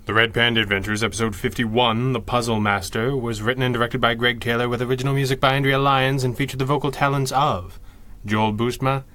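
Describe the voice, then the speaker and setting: A man's voice: medium pitch, steady tone, a little fast, over music. A man reading scripted programme credits as an announcer.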